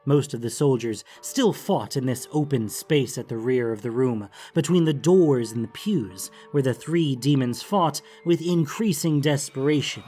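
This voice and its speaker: Casting: male audiobook narrator, medium pitch, dramatic scripted fantasy reading with soft music behind. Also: unhurried, hardly any pauses, husky.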